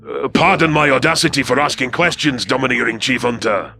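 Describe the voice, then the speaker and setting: A man's voice: mid-range voice, fairly quick, bright, forceful tone, voice pushed up. A man voicing a cautious alien warship subordinate who speaks formally and hesitantly to his superior. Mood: respectful, doubtful. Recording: music behind the voice.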